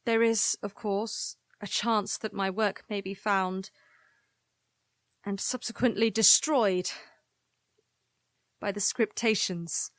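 A grave, dutiful historian of a besieged nation, voiced by a woman sets down her account in a mid-pitched voice. Her delivery is formal and eloquent, like a scripted chronicle in an audio drama. The sound is dry, without echo. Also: fairly even intonation, takes it slowly, long pauses, natural voice. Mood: solemn, foreboding.

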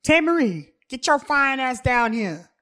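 A woman's voice: mid-range voice, normal speed, sharp tone, close to an everyday voice. A woman reading the part of a brash, jealous ex-boyfriend who bellows and taunts. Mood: swaggering, taunting.

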